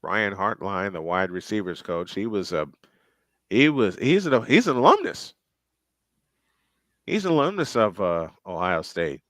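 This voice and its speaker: A man's voice: fairly low pitch, very animated, unhurried, long pauses, fairly bright tone, no echo, studio quality. A man doing live play-by-play commentary on a football game, chatty and thinking aloud between plays.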